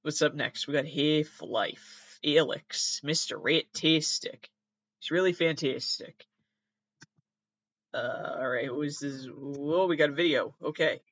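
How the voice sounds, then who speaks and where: Man, higher-pitched voice, casual reaction host, rambling, sardonic asides, sweary, slightly muffled lo-fi recording. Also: slow pace, fairly bright tone. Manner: sardonic, sweary asides and muttered self-talk. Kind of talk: casual rambling reaction commentary, reading aloud from web articles. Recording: roomy, slightly dull lo-fi sound.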